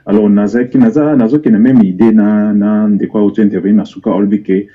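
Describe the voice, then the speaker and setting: Low husky Congolese man on a muffled call. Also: steady tone, quick pace. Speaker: caller. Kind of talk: casual spontaneous opinion in mixed languages. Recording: muffled internet phone call.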